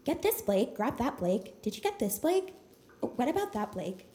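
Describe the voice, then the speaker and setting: A woman's voice: on the high side, big pitch swings, moderate pace, mellow. Sarcastic, exasperated young woman. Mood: mocking, irritated. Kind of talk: naturalistic audio drama acting.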